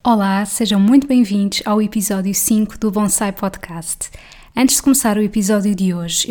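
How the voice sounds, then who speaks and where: A woman with a European Portuguese accent hosting a podcast, giving a measured, friendly introduction and then interviewing a friend. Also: medium pitch, darkish tone. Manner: measured, friendly announcing, brisk in chat. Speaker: podcast host.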